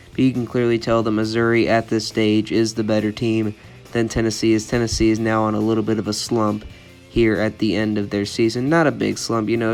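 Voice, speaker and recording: Flat-voiced congested young man. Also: fairly low pitch, smooth. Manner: monotone, opinionated, repeats "I think". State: congested from a cold. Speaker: sports channel host. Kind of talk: college football schedule predictions.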